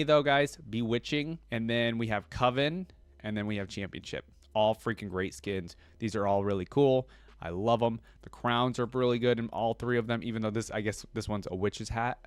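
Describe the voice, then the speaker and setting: A man's voice: fairly low pitch, dry recording. A young man hosting a reaction video, talking casually and upbeat to his viewers with plenty of slang. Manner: chatty, upbeat, addresses viewers as guys; casual slang, repeats freaking.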